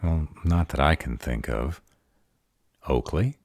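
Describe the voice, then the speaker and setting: A man playing a protective, grumpy were-dragon boyfriend in a fantasy audio drama, gruff but deferential to authority. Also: rumbling low, slow, darkish tone, no echo, clean studio sound, heavily acted. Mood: questioning.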